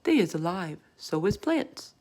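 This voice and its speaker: A woman's voice: on the low side, sing-song, a little slow, darkish tone. A woman voicing a big, friendly, earnest male giant in a storybook, speaking emphatically in quaint, muddled grammar. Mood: solemn, earnest. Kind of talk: storybook read-aloud character voice.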